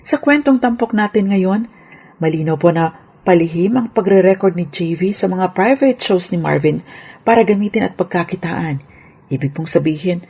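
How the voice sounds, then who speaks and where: A woman hosts a legal segment with a mellow, relaxed tone and a husky edge to her slightly low voice. She reads prepared explanations formally and politely, instructing listeners and slipping English terms into her speech. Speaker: lawyer and radio legal segment host.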